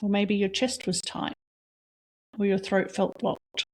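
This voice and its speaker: A woman leading a guided reflection exercise, asking slow, soft questions with long pauses between them. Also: mid-range voice, level tone, slight background noise. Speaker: meditation guide.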